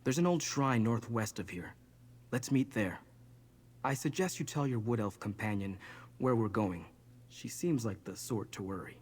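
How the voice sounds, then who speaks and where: A man voicing an earnest, protective young man with a husky voice in a video game. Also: on the low side, animated, normal speed. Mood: calm, reassuring. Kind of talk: video game character dialogue.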